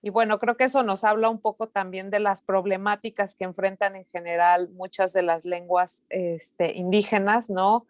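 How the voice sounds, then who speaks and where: A woman's voice: medium pitch, little pitch movement, moderate pace, hardly any pauses, no echo, studio quality. Chatty woman moderating online talk. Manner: chatty, frequent fillers and tag questions. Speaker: online event host.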